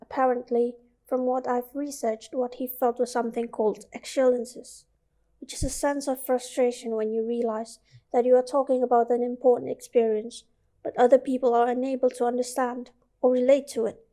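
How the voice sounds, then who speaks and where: A woman's voice: a little high, level tone, normal speed, warm tone, close to an everyday voice. A woman playing a curious, earnest young student in an amateur radio drama, narrating and acting out her story. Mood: reflective, intrigued.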